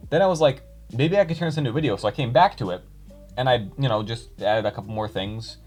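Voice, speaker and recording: A man's voice: mid-range voice, fast, a little mellow, soft background music. A young man chatting casually to his viewers, rambling with many fillers and restarts. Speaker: YouTuber reading comments.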